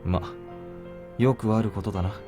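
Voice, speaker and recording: Casting: male actor for dry-witted, detached visual novel soldier, deadpan, somewhat low, over music. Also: fast talker, close to an everyday voice. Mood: cool, dry. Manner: blunt, deadpan. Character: aloof, dry-witted ex-soldier young man. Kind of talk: Japanese visual novel voice acting. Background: background music.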